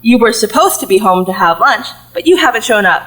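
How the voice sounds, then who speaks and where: A woman's voice: on the high side, lively intonation, a little fast, fairly bright tone, rough recording. A woman playing a concerned mother leaving a telephone voicemail in an audio play.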